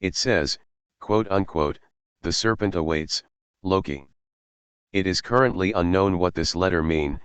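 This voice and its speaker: Very deep, smooth male alert announcer. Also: a little slow, no room sound. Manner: formal announcing. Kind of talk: scripted mock emergency broadcast.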